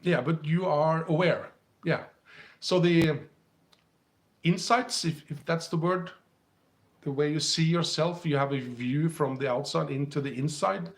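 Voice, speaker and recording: A man with a Norwegian accent hosting a live spiritual stream on his phone, gently reading comments and passages aloud and chuckling often. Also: on the high side, steady tone, a little slow.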